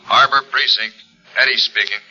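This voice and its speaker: An actor playing a brisk police precinct officer on the phone in a 1940s radio drama. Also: male voice, medium pitch, steady tone, muffled recording, over loud music, natural voice. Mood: businesslike.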